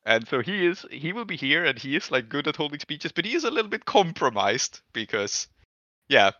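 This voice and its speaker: A man running a tabletop game as game master, improvising narration in casual, halting speech as he thinks aloud. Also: a little high, sing-song, pushed and bright. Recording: echoey room, muffled dull audio.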